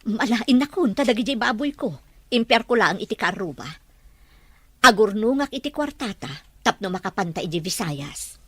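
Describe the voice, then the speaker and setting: A woman's voice: mid-range voice, lively intonation, moderate pace, bright, forceful tone, slightly rough, no echo. Casting: woman as middle-aged village mother, Filipino accent, mid-range voice, earnest and homely, audio drama. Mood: homely, practical. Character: earnest rural mother of grown children. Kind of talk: Ilocano audio drama acting.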